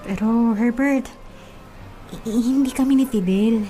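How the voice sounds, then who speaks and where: A woman playing a soft-hearted young housemaid in a radio drama, hesitant and tender. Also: a little high, measured pace, faint music behind, lightly acted, poor audio.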